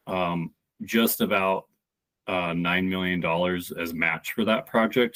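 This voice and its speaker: A deep-voiced man lays out the details of a project for a commission. He speaks in a measured, explanatory way and often pauses on "um.". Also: sharp tone. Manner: explanatory, measured, with frequent fillers. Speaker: project applicant. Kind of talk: public comment at a meeting.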